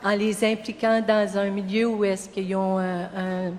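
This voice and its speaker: A woman's voice: mid-range voice, level tone, measured pace, a little mellow. A woman speaking earnestly and hesitantly as a youth prevention worker on a panel. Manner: earnest, hesitant, many fillers. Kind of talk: panel discussion. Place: public forum in a college hall. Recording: reverberant hall, faint room noise.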